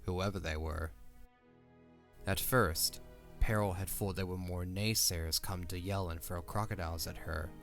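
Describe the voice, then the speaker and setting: A man's voice: sits low, fairly even intonation, unhurried, creaky, fairly bright tone. A man giving scripted third-person narration for a fantasy audio drama. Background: faint music.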